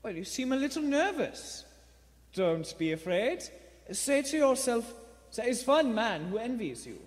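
A man's voice: very high voice, sing-song, a little slow, heavily acted. A man playing a kindly, sly old shopkeeper with courteous, wordy speech in a live radio play. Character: kindly old bird-shop keeper, secretly a bomb maker. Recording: echoey theater hall.

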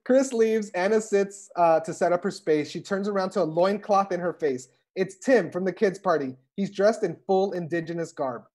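A woman's voice: on the low side, normal speed, few pauses, a little mellow. A woman reading scripted stage directions as narrator for a comedic table read. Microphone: video call.

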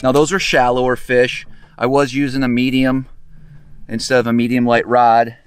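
A man in his forties chatting casually to camera as a fishing vlogger, laid-back and halting as he thinks aloud, recorded outdoors on a boat. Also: medium pitch, little pitch movement, no echo. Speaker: fishing vlog host. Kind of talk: casual chat, explaining to camera. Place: open boat deck on a lake, outdoors. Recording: outdoor camera audio with clear boat noise.

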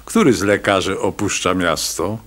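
An actor playing a haughty, menacing town doctor in a radio play, speaking in a condescending, ominous way. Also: male voice, low voice, moderate pace, fairly bright tone. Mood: condescending, threatening.

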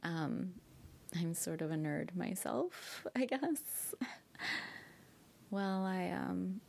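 A woman's voice: fairly low pitch, animated, extremely slow, darkish tone, full character voice. A young woman playing a shy, flustered woman reuniting with an old flame in an intimate, hesitant, giggly ASMR roleplay. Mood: shy, playful. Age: young adult. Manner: hesitant, giggly, often breathy asides. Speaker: girlfriend roleplay audio performer.

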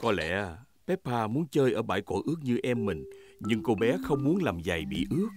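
A man's voice: fairly low pitch, very animated, fairly quick, very noisy. A man narrating a preschool cartoon in a scripted storybook style. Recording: loud music behind the voice.

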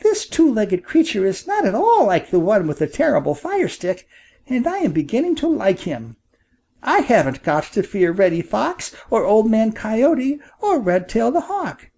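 Man voicing a high-pitched, timid little boy quail. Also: creaky, mellow, slightly rough, heavily acted. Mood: relieved, musing. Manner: earnest, musing. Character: timid, thankful young male quail in a storybook.

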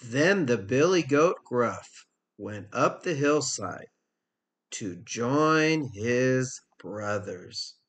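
A man's voice: medium pitch, lively intonation, drawn-out words, fairly bright tone, a little hiss or hum. A middle-aged man narrating a children's storybook expressively, as a teacher reading aloud.

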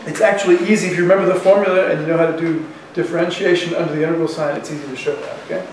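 A man in his sixties lectures like a professor thinking aloud, in colloquial language. His voice sits fairly high. It is picked up from some distance in a very echoey classroom, with faint background noise. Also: fairly even intonation, very fast. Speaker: university economics professor. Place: university classroom in front of chalkboard.

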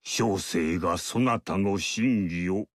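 An ageless mystic sage in a video game, calm and aloof, speaking in measured, archaic and lofty phrases. His voice sits low and unhurried, and the recording is dry and close. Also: no echo. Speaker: game character. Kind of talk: game dialogue acting.

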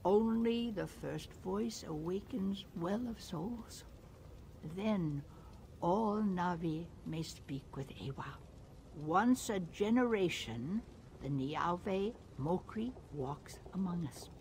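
Slow, solemn alien mystic woman. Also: on the low side, animated, slight background noise. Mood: mystical, instructive. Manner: ceremonial, deliberate, breaking into hushed whispers. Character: solemn, mystical female alien spiritual leader. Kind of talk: video game voice acting.

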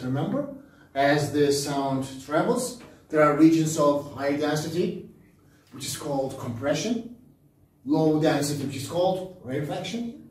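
An older man with a Turkish accent explains a lesson in a classroom, in a high-pitched voice. He goes step by step in short phrases and often repeats them. He is picked up from a little distance, and the room rings with heavy echo. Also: darkish tone. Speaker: physics teacher. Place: small indoor classroom at a smartboard. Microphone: camera several metres away. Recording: very echoey room, distant microphone.

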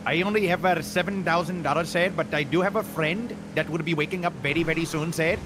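A man's voice: a little high, fairly quick, background noise, lightly acted. A man putting on an overly polite customer with an Indian accent. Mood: polite, eager. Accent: put-on Indian. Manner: overly polite, says sir constantly. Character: fast-talking man with put-on Indian accent, phone customer. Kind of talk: roleplay phone call in a game.

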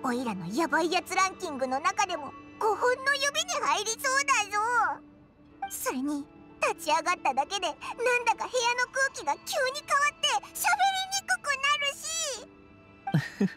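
A woman voicing a tiny childlike fairy companion in an anime-style video game, squeaky, cheeky and blunt, with chatty boyish phrasing. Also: big pitch swings, moderate pace, music in the background. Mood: wary.

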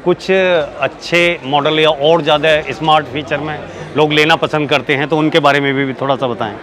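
A man's voice: fairly high pitch, fairly even intonation, normal speed. A man, hosting an on-location interview and affirming what he is told. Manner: repeats and affirms answers. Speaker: interviewer, channel host. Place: indoor trade fair booth. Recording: busy exhibition hall crowd, background music. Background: crowd chatter and music.

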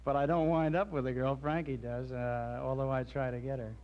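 A man in his thirties, an actor guest on a 1960s TV interview in a studio, answering in a relaxed, easygoing way with many fillers. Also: medium pitch, brisk. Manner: relaxed, easygoing, many ums and you-knows. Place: TV studio with curtain backdrop. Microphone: host's handheld mic. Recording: lo-fi 1960s TV broadcast with light hiss.

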